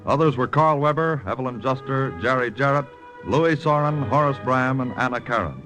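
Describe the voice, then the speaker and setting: A male announcer with a mid-pitched voice reads scripted credits over faint music. His delivery is formal and measured, in the manner of vintage radio. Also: fairly bright tone, rough recording. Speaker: announcer reading programme credits.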